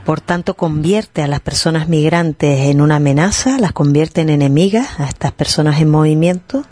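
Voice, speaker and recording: A low, mellow-voiced woman answers interview questions slowly and deliberately, pausing on fillers. The sound is dry and close. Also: no echo. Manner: measured, deliberate, with pauses and fillers. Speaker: interviewed activist.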